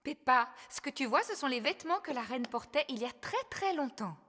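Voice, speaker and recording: A woman voicing a patient, gently firm mummy pig in a French children's cartoon, explaining and reassuring. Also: high voice, expressive, a little fast, faint music behind.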